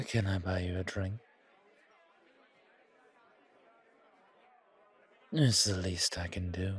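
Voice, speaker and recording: Suave male vampire, voiced by a man for roleplay audio: low, raspy, genteel and flirtatious, with bar chatter behind. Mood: charming, flirtatious. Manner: genteel, poetic, unhurried, flirtatious. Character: suave, courtly, old-world male vampire charming a stranger. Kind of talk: roleplay audio, ASMR horror.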